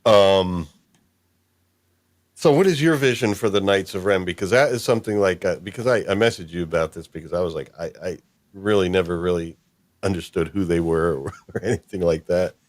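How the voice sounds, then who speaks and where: A low-voiced man hosts a casual discussion in an informal, rambling way. He feels his way through his thoughts, and the sound is dry and close, with little room on it. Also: moderate pace, no room sound. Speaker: podcast host. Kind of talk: casual discussion of a fan story.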